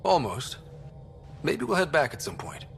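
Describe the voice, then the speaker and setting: A man's voice: fairly high pitch, huge pitch swings, unhurried, vocal fry, fairly bright tone, soft background music, exaggerated voice. An actor playing a young, easygoing male human explorer in a video game, casual and level-headed with dry delivery. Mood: easygoing, confident. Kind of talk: video game cutscene acting.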